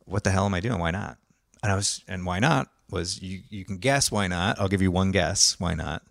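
A man's voice: low-pitched, moderate pace, gravelly, fairly bright tone, no echo, clean studio sound. A man hosting a podcast, telling his backstory in a long-winded, laid-back way. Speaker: podcast host and photographer. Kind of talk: casual conversation.

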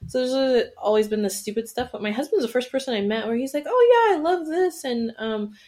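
A woman's voice: high voice, animated, fairly quick, a little mellow. A woman hosting a casual podcast chat, asking playful, probing questions of her guests. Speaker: podcast host.

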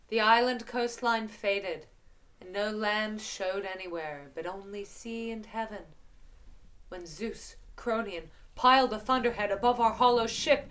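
A woman's voice: mid-range voice, close to an everyday voice. A woman acting an anguished, intense sea captain in a theatrical verse audio drama, pleading, raging and recounting a voyage. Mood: grave recounting. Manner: intense, theatrical, measured in telling, wailing in pleas.

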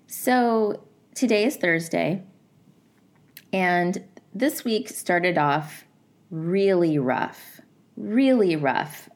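A woman in her forties hosting a podcast, telling a personal story in a reflective, conversational way, a little nasal from a head cold. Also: low voice. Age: 40s. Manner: reflective, conversational, with fillers like "you know" and "like". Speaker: podcast host.

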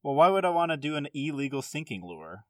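A man's voice: a little high, big pitch swings, fast, dry recording, clean studio sound. A man in casual game chat, blunt and sweary, often asking questions. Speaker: podcast speaker.

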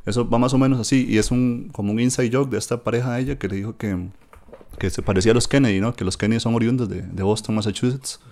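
A man's voice: mid-range voice, fairly even intonation, quick pace, a little mellow. A man, chatting casually about books on a podcast, full of fillers and tag questions. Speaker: podcast host discussing books. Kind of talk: casual literary chat.

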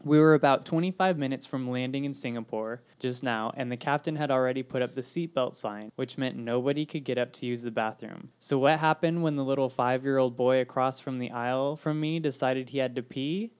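Mid-pitched, dry-witted co-host, understated storytelling with playful impressions. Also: brisk, hardly any pauses, smooth. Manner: wry, dry storyteller who lapses into mocking voices. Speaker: podcast co-host. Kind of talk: casual chat, storytelling.

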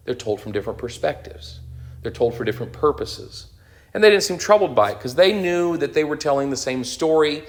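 A man's voice: on the high side, lively intonation, brisk. A man in his thirties giving an unscripted lecture on the Bible, explaining as he goes with fillers and restarts. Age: 30s. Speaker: Bible teacher.

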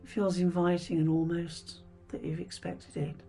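Female meditation guide: soft and breathy, very mellow, soothing delivery with gentle pauses, music underneath. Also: lowish voice, little pitch movement. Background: background music.

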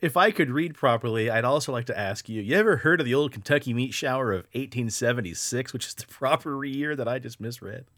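A man hosting a podcast, telling strange stories in a casual, animated way and laughing often. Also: medium pitch, brisk, few pauses, no room sound, clean studio sound. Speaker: podcast host. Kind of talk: casual storytelling podcast chat.

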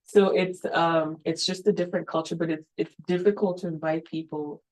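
A woman's voice: low-pitched, fairly even intonation, moderate pace, rough recording. Earnest woman missionary, filler-heavy. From Kenya. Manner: earnest, with many fillers like um and you know. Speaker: missionary church planter. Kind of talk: testimony at a mission event.

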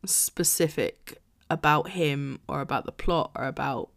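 Co-host: woman, lower pitch, relaxed and chatty, quick to laugh. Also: vocal fry. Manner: chatty, laughs often, reads blurbs aloud. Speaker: podcast co-host. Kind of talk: casual book discussion.

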